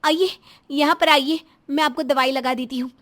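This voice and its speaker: A woman's voice: sits high, fairly even intonation, moderate pace, a bit bright. A woman voicing a caring, outspoken young daughter-in-law in a melodramatic audio story, earnest and pleading. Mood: concerned.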